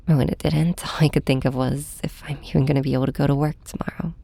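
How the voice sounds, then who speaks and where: Audio drama lead: woman as hurt young superhero, low-pitched, husky and breathy, hesitant, intimate dry sound. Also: fairly even intonation, no room sound, full character voice. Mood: hurt, subdued. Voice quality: soft, breathy. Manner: hesitant, halting, hushed. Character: heartbroken young flying superhero confiding in and snapping at nemesis. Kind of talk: first-person audio drama narration, ASMR hybrid.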